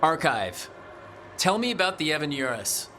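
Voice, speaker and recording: A man's voice: fairly high pitch, very animated, normal speed, pushed and bright, a little hiss or hum. An actor playing a direct, wry young male hero in a video game, in a conversational manner. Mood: serious. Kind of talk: naturalistic video game acting. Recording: music behind the voice.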